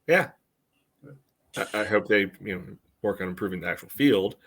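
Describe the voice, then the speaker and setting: Low-voiced man analysing a match casually. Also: lively intonation, normal speed, a bit bright. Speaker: soccer podcast co-host.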